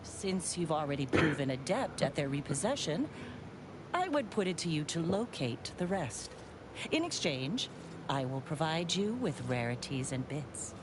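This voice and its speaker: Prim, haughty scientist; lowish, lively pitch, formal old-fashioned diction, noisy game ambience. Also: female voice, measured pace. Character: haughty fussy female scientist on an island.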